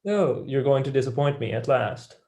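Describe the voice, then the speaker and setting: A man's voice: medium pitch, animated, normal speed, vocal fry, mellow. A student actor as a wry young male scholar. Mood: teasing, pleading. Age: young adult. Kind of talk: staged play reading.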